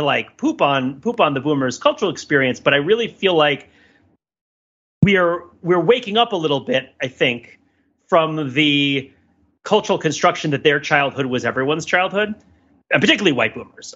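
Bright, husky man in podcast discussion. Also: on the high side, lo-fi sound. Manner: discursive, analytical, wordy. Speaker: podcast co-host. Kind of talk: casual discussion and cultural commentary.

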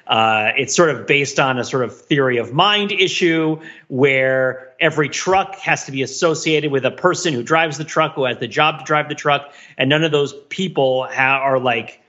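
Male podcast co-host, very bright voice, animated, wry, digressive film commentary, slightly lo-fi sound. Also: mid-range voice, moderate pace, few pauses. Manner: animated, digressive, wryly analytical. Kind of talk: casual film discussion, rambling commentary.

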